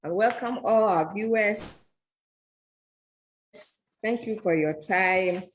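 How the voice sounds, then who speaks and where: Woman guest, mid pitch, formal and polite, video call audio. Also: slow. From Ghana. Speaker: award-winning guest.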